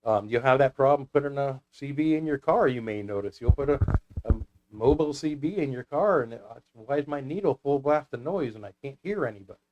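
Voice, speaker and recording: A man's voice: mid-range voice, lively intonation, fairly quick, a little mellow, lo-fi sound, a little hiss or hum. A man talking casually as a guest about his hobby, in a folksy, rambling storytelling way. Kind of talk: casual hobby talk with anecdotes.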